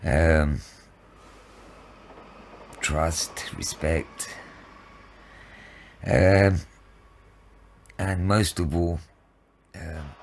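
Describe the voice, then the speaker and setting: Slow, low-voiced man in his fifties. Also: breathy edge. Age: 50s. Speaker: interviewee telling own story of addiction and homelessness. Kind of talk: personal testimony.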